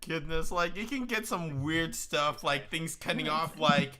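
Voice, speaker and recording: A man with a naturally loud voice hosting a casual, sweary group chat. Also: on the high side, measured pace, music in the background. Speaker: podcast host.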